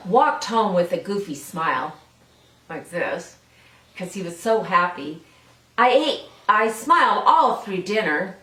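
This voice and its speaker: A woman's voice: mid-range voice, a bit bright. An older woman hosting a children's story time, reading and chatting playfully and encouragingly in simple words for small children. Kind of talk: read-aloud storytelling with chat to young children. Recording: roomy, distant mic with faint noise.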